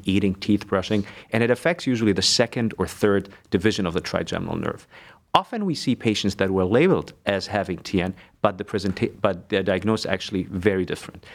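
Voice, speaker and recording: Low-voiced neurologist man from Egypt. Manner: measured, technical explanations. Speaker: neurologist guest. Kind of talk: expert medical interview.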